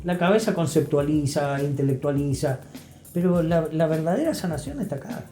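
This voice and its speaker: A man's voice: fairly high pitch, expressive, moderate pace, dark tone. An older man with an Argentine accent, speaking reflectively and emphatically as a guest therapist, explaining things didactically and repeating key phrases. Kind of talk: reflective interview talk. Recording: roomy echo, faint music.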